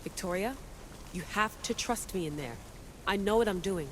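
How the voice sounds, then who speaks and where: A woman's voice: mid-range voice, normal speed, fairly bright tone, lightly acted, low-quality recording. Blunt, headstrong young woman, voiced by an actress over background noise. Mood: determined. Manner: blunt, cocky. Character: headstrong, defiant young woman, modern assassin. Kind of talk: video game cutscene acting. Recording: clear background noise, game ambience.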